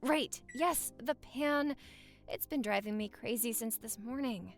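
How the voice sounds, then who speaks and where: A woman's voice: on the high side, measured pace, faint music behind. A woman acting a playful, lovestruck newlywed wife in a romantic audio roleplay, teasing and flirting in intimate, easily flustered banter. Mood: affectionate banter.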